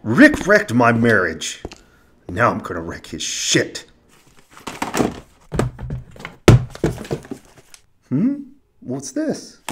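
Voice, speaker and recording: A man's voice: mid-range voice, a little hiss or hum, exaggerated voice. Man playing a whiny, wildly swooping resentful dad. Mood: scheming. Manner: melodramatic, whiny, halting. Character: whiny, resentful, insecure divorced father. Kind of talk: fan-made cartoon audio drama.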